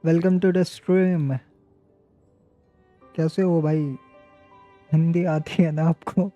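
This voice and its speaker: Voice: medium pitch, flat delivery, quick pace, dark tone. A native Hindi speaker chatting casually as a live game streamer. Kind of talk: casual livestream chat over gameplay. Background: game music.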